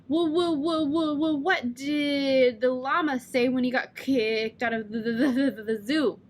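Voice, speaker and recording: A woman voicing a young boy who stutters, drawing out sounds slowly as he tries to get his words out. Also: sits high. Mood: joking. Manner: stuttering, slow and drawn out.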